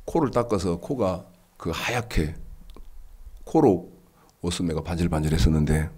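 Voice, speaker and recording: A man in his sixties preaching a Sunday sermon, his voice stuffy and nasal from a cold, rising high and emphatic as he drives his points home. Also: animated, normal speed, a little mellow, husky. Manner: rises to an emphatic raised pitch when preaching. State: congested with a cold. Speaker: pastor.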